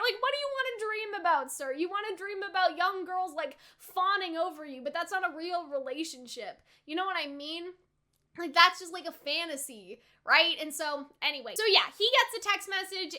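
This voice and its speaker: A young woman gives a casual, animated reaction and review, rambling and peppering her talk with "like" and "right?". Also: extremely high pitch. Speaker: anime reaction YouTuber.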